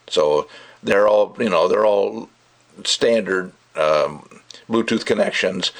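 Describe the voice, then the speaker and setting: Gravelly, low-voiced man ranting casually. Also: fairly bright tone. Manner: rambling, emphatic, punctuating points with okay and all right. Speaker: product reviewer, hobbyist audiophile. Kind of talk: casual opinionated review rant.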